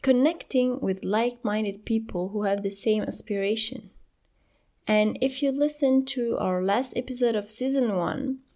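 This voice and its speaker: Reassuring woman podcast host, gravelly tone. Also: fairly high pitch, darkish tone. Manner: encouraging, reassuring. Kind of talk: solo podcast advice talk.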